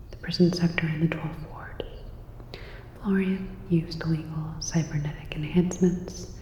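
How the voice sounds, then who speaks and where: A woman whispering a scripted sci-fi ASMR roleplay as a calm, clinical robot repair technician, soft, breathy and gently instructive. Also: sits low, roomy sound, long reverb tail, muffled recording.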